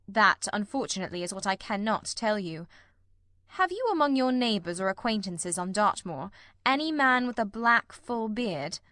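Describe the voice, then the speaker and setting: A woman voicing a shrewd, composed gentleman detective in a storybook, formal, measured and authoritative. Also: medium pitch, sing-song, no echo, studio quality. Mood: brisk, commanding.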